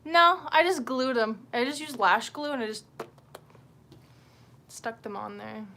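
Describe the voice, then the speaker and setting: Higher-voiced woman on a noisy livestream. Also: lively intonation, measured pace, vocal fry, sharp tone. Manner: informal, sweary, scattered talk to viewers. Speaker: livestream host. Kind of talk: casual unscripted livestream chat.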